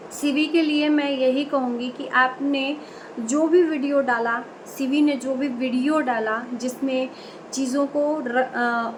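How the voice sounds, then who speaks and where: A woman with a high voice shares her reaction with her followers, earnest and opinionated. She often stops mid-word to correct herself and starts over. A faint background hum sits under her voice. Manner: earnest, opinionated, addresses viewers directly; many fillers and self-corrections. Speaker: reaction vlogger. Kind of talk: direct-to-camera commentary.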